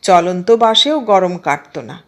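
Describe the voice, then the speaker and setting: A woman reads a literary story aloud in a measured, expressive storytelling manner. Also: lowish voice. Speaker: audiobook narrator. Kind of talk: scripted literary story narration.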